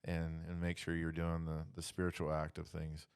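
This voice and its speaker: A man leading a casual faith discussion, reflective and halting, often dropping in "you know". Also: rumbling low, completely flat delivery, a little mellow, smooth.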